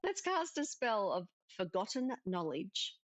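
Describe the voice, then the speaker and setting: A woman's voice: medium pitch, sing-song, measured pace, slight background noise. A woman voicing a kindly spirit witch mentor in a cozy visual novel, patiently explaining and instructing. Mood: instructive. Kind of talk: cozy visual novel dialogue read aloud.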